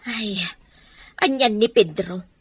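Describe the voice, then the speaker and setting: A woman's voice: medium pitch, slow pace, husky, no room sound. A woman with an Ilocano accent playing a worried, disapproving farm wife in an audio drama. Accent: Ilocano, from the Philippines.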